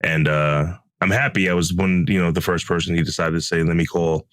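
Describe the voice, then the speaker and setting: Low-voiced man telling casual stories. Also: brisk, dry recording, clean studio sound. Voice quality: deep, calm voice. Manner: relaxed storytelling with many fillers. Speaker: podcast co-host. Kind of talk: casual podcast chat. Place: home closet room.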